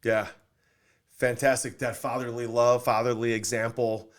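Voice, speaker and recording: A man's voice: mid-range voice, little pitch movement, moderate pace. A man hosting a podcast in an upbeat interview style, enthusiastic and affirming as he talks. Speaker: podcast host.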